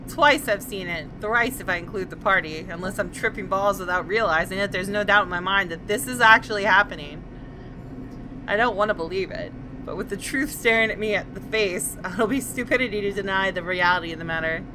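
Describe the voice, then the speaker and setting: A woman's voice: mid-range voice, background noise. A woman hosting a gaming video, reading visual novel narration aloud with dramatic expression.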